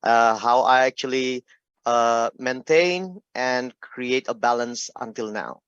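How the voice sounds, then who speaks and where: A middle-aged man from Indonesia with an Indonesian accent, telling his personal story in an informal, halting, conversational talk. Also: mid-range voice, a bit bright, smooth, dry recording, dull audio. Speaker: speaker giving a personal health testimony.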